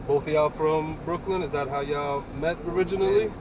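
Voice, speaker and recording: A man hosting a casual interview in a car, eager and encouraging, with quick words of agreement. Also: on the high side, fairly even intonation. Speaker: interview host. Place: inside a moving car. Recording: car with road noise.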